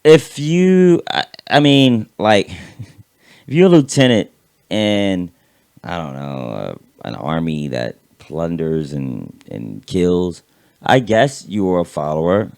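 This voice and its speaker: Raspy low-voiced man in casual chat. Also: very animated, slow, darkish tone. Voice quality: raspy, vocal fry. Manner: rambling, repeats words, swears freely. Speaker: podcast co-host.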